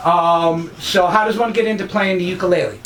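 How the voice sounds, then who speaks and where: A man's voice: high voice, steady tone, fairly quick, a bit bright, low-quality recording. A man interviewing a musician, asking short, hesitant questions full of fillers.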